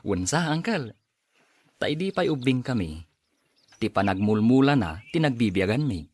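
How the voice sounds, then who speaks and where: An actor playing a modest, hardworking farmer who speaks politely and chuckles often. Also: male voice, mid-range voice, sing-song, a little slow, a little mellow. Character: humble hardworking young farmer. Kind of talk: audio drama acting.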